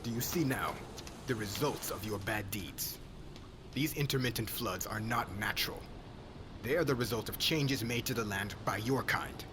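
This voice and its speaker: Man playing menacing witch hunter, very deep, hushed commands, formal, stern, ASMR fantasy roleplay, clear background noise. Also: moderate pace, close to an everyday voice. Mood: stern, accusing. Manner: commanding, formal speech, often hushed and threatening. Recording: clearly noisy ambient background.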